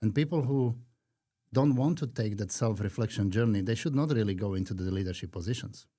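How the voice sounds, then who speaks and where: Slovak-accented man, low mellow voice. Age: 50s. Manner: reflective, earnest, with frequent pauses and fillers. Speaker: podcast guest. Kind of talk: conversational interview.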